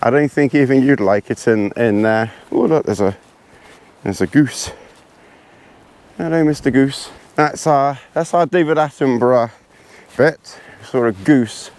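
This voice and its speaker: A man with a hoarse, congested voice talks unhurriedly to his camera as he walks across open hills. His speech is rambling and self-deprecating, full of restarts, and his pitch sits at an ordinary middle level. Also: unhurried, pauses often, darkish tone. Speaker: vlogger. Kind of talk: casual chat while hiking. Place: outdoors on windy hills.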